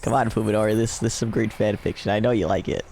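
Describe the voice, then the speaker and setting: A man's voice: lowish voice, fast, gravelly, darkish tone, slight background noise. A young man bantering and reading lines in a casual let's play, goofy and joking. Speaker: gaming video co-host.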